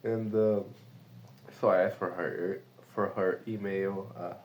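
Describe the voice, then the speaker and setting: A man's voice: sits low, steady tone, slow, a little mellow. A man from Brazil, a remote sales rep speaking English with a Brazilian Portuguese accent, rambling casually with lots of fillers and swearing. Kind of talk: casual vlog monologue.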